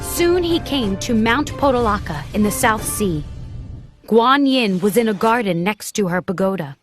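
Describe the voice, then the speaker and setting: Woman narrating over clear background music. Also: medium pitch, lively intonation, moderate pace. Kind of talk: scripted children's story narration.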